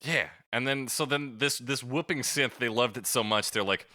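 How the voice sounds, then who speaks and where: A man's voice: medium pitch, moderate pace, pushed and bright, no echo, clean studio sound. A man co-hosting a casual music review podcast, talking bluntly with swearing, joking and mimicked sounds. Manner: blunt, profane, jokey. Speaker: podcast co-host.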